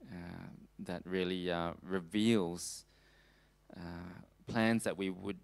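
Low, clear-voiced man preaching. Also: level tone, takes it slowly. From Australia. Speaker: preacher giving a talk on world missions. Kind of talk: sermon with slides, closing in prayer.

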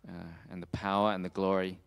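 A man gives a church talk in a low, smooth voice. He moves haltingly through his sentences, stopping partway through phrases before carrying on. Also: completely flat delivery. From Australia. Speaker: preacher giving a talk on world missions. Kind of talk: sermon with slides, closing in prayer.

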